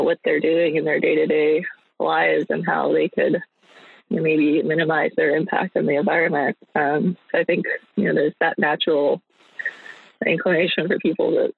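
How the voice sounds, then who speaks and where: Casting: female interview guest, younger adult, creaky and raspy, hesitant conversational delivery, dry phone-like sound. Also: low-pitched, no echo. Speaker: guest, small business owner. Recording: thin phone-like line.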